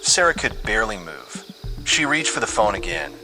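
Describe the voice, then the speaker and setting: A man's voice: lowish voice, big pitch swings, normal speed. A man reading a scripted horror story with dramatic, suspenseful delivery. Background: music under the voice.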